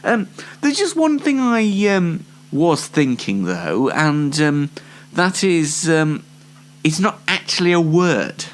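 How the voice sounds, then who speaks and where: Casting: British man, 20, solo show host, dry and rambling, with swooping intonation and slow delivery. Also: a little high, very animated. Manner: dry, tongue-in-cheek, rambling. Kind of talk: informal solo show presenting.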